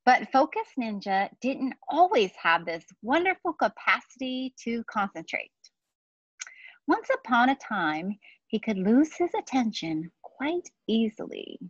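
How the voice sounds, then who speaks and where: A woman in her forties reading a picture book aloud to children, deliberate and explanatory, with casual asides. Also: on the high side, sing-song, husky, no room sound, muffled recording. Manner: deliberate, explanatory, speaking to young listeners. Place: outdoor patio beside trees.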